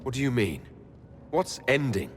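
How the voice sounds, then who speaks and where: Actor as an earnest, expressive young-hearted hero. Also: male voice, medium pitch, normal speed, slight background noise, lightly acted. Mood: stern appeal. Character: compassionate, earnest male hero leading the party. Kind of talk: dramatic video game dialogue.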